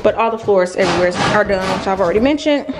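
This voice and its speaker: Clear background noise surrounds a young woman's voice at an average female pitch. She talks casually and directly to her viewers, as a vlogger does when showing people around a house, picked up by a nearby camera indoors. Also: animated, fast talker. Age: young adult. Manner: informal, addresses viewers directly. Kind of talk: casual vlog chat, narrating a house tour. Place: indoors, rooms of a house under renovation.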